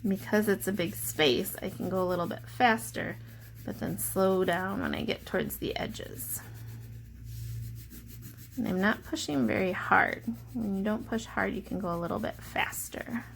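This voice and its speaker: Female art teacher for kids, mid-range voice with noticeable vocal fry, explaining each step simply, slight noise behind. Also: medium pitch, measured pace. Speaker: teacher leading a drawing lesson. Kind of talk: step-by-step instructional narration for children.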